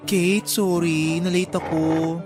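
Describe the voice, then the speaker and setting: A man playing a chatty, teasing young friend in a radio drama, expressive and gossipy. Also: on the high side, slow. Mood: apologetic, friendly. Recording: music behind the voice.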